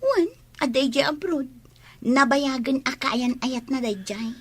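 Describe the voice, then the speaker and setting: High, husky forthright young woman. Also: animated, takes it slowly, exaggerated voice. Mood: earnest, probing. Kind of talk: radio drama acting in Ilocano.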